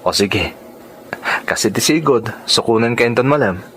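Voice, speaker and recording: An actor playing a devoted young suitor in a radio drama, speaking with earnest tenderness. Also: male voice, mid-range voice, lively intonation, moderate pace, a bit bright, slight background noise, natural voice. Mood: earnest, caring.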